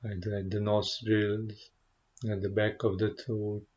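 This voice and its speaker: A man with a fairly low voice guides a meditation at a very slow pace. He is gentle and contemplative, leaving space between short phrases. The recording is slightly dull and muffled. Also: completely flat delivery. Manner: gentle, unhurried, contemplative, with pauses. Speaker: meditation teacher, Buddhist monk.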